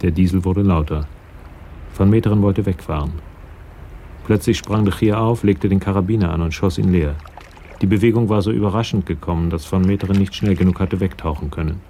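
An actor narrating a radio crime drama in a measured, matter-of-fact way as a sober storyteller. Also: male voice, low voice, dark tone, slight background noise.

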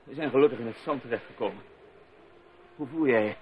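A man playing a brisk, hot-tempered, take-charge adventurer in a radio drama. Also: mid-range voice, lightly acted. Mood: concerned, shaken. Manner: brisk, commanding. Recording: noisy, dull old radio play recording.

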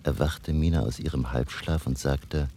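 An older man playing a grave vampire hunter in a German radio drama, narrating his diary solemnly with a gravelly voice. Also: steady tone, normal speed, mellow, slight background noise. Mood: solemn, weary. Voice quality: very deep, gravelly. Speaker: narrator and actor.

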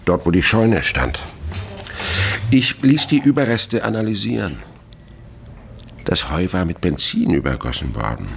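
Weary retiring inspector, bottom-deep voice, swinging intonation, laconic, gruff, radio crime drama, noisy sound. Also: male voice, very animated, measured pace, pauses often, exaggerated voice. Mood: dry, probing. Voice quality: gravelly.

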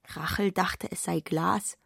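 A woman's voice: on the low side, fairly even intonation, slow pace, creaky, a bit bright, husky, no room sound. A woman narrating a literary radio drama in a studio, reading scripted prose. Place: dry studio.

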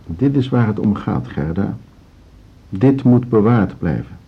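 An actor playing a courtly, sentimental older music teacher in a radio drama, husky and savoring his words. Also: on the low side, very animated, unhurried, heavily acted. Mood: rapturous, wistful. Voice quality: husky, raspy. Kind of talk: Dutch radio drama acting. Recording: dull radio recording with piano music.

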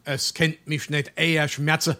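A man's voice: a little high, level tone, a little slow, bright, forceful tone. A man playing a stuffy, respectable burgher father in a Darmstadt dialect comedy, speaking formally and ponderously. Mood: grumbling, solemn. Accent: Darmstadt Hessian. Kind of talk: dialect stage comedy acting.

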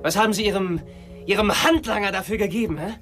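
An actor playing an anguished, obsessed man confronting a friend who betrayed him, insistent and halting, in a German radio drama. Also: high voice, a bit bright, soft background music. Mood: accusing.

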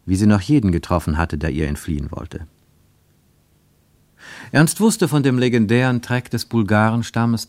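A man narrating a radio drama in a measured, deliberate storytelling manner, recorded in a studio. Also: lowish voice, a little mellow, no room sound. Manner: measured, deliberate storytelling with slowed emphatic phrases.

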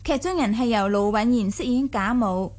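A woman's voice: on the high side, moderate pace, a little mellow. A woman with a Hong Kong Cantonese accent reading formal, scripted radio drama credits as announcer.

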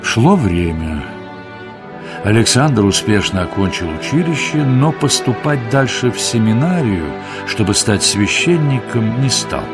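A man's voice: mid-range voice, big pitch swings, measured pace. A man narrating a radio play in a scripted storytelling style. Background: music under the voice.

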